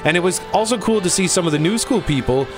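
A man co-hosting an entertainment show, mixing casual, opinionated chat with presenter-like links in a measured manner. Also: sits high, expressive, music in the background. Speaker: co-host of an entertainment review show.

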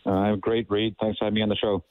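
A man's voice: lowish voice, level tone, fast talker, no room sound. A man from South Africa with a South African accent, a soccer coach talking fluently and upbeat in a phone interview. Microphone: telephone. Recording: dry tinny phone line.

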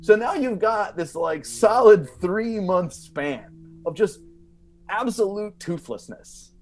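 A man with a slightly high voice spins a funny story as a podcast guest. He talks casually and emphatically, circling back and repeating words as he builds each point. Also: expressive, takes it slowly.

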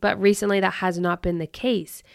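A young woman hosting her own podcast in upbeat, chatty talk, her voice a bit lower and rougher after allergies early in the morning. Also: flat delivery, normal speed, no echo, studio quality. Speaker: podcast host. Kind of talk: casual solo podcast talk.

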